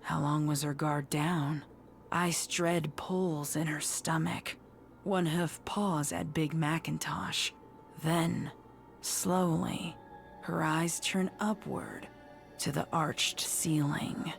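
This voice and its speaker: A woman narrates dark horror fiction in a low voice. She takes it slowly and with suspense, measured from phrase to phrase, over a bed of music. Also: creaky. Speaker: story narrator. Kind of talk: dramatic scripted horror story narration.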